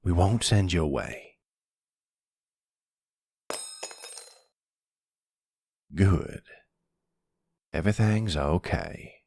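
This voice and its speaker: A man playing a protective, calm older mafia grandfather in an ASMR roleplay, speaking gently in a folksy way. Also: deep voice, takes it slowly, husky, dry recording, exaggerated voice. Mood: tense, calming. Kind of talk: ASMR boyfriend roleplay acting.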